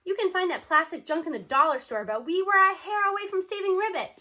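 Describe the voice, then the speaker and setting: A woman reading a children's novel aloud in an expressive storybook style, acting out the dialogue. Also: very high-pitched, quick pace. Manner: animated, acts out the characters' dialogue.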